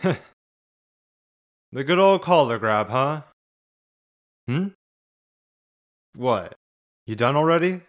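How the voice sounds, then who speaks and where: Man playing a cool, slow-talking teenage boy. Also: medium pitch, expressive. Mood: bored, mocking. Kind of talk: manga fandub acting.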